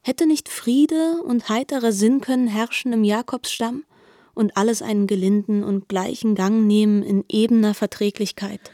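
Mellow female narrator. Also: medium pitch, fairly even intonation, moderate pace, dry recording, studio quality. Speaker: narrator of a radio drama. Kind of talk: literary scripted narration.

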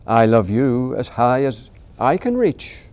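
A man voicing a big, grown-up male hare who speaks gently and steadily to his little one. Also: mid-range voice, sing-song, slow pace. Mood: gentle, fond.

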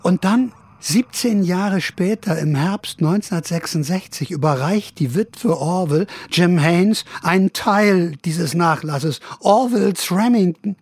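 A man's voice: high voice, slow, darkish tone, no echo. An older man with a wry storytelling manner, narrating personally and interviewing casually. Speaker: narrator and interviewer in a radio feature. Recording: clear background noise, location ambience.

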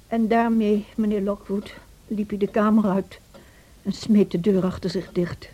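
A woman's voice: medium pitch, steady tone, unhurried, dark tone, close to an everyday voice. A woman playing a loyal, caring housekeeper in a radio play, recounting events in a confiding, concerned way. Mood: grave recounting.

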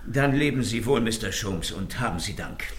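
An actor playing an officious, stubborn French police inspector in a German radio crime comedy, stern and easily exasperated. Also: male voice, fairly low pitch, animated, moderate pace, a bit bright. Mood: official. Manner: stern, blustering.

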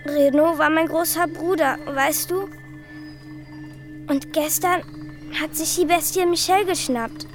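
A woman's voice: fairly even intonation, slow pace, pauses often, music in the background. An earnest little girl. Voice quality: high childlike voice. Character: young girl telling scary stories, earnest.

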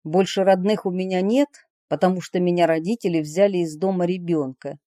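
A woman reading personal letters aloud in an expressive way. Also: lowish voice, brisk, dry recording, studio quality.

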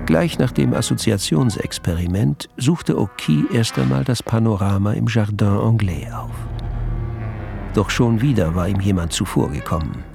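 Gravelly, low-voiced male storyteller. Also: animated, moderate pace, music in the background. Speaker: narrator. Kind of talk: scripted radio play narration.

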